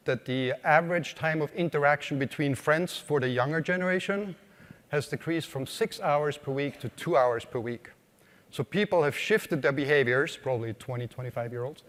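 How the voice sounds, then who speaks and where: A man's voice: mid-range voice, big-room sound. A man with an Austrian accent giving a conversational keynote to a live audience, asking questions and using many fillers. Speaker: conference speaker. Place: conference hall.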